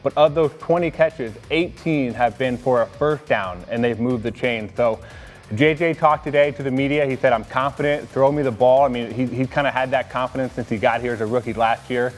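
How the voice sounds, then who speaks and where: A man's voice: mid-range voice, a little fast, long reverb tail, soft background music. An American man talking casually as a sports analyst on a team show.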